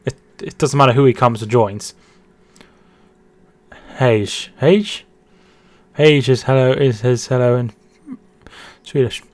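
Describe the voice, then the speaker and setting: A man chats his way through a football watch-along, reading out viewers' messages and calling plays as they happen. He talks in a loose, halting way, repeating words and restarting his sentences. His voice sits in a middling register, and the sound is dry and close to the mic. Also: mid-range voice, no room sound. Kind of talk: casual live-stream chat with commentary.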